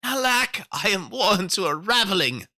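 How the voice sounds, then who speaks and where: Man voicing a tired old tailor, weary cries, raised and bright. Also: high-pitched, sing-song, measured pace, no echo, studio quality, pitched above the performer's own voice, exaggerated voice. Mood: weary relief.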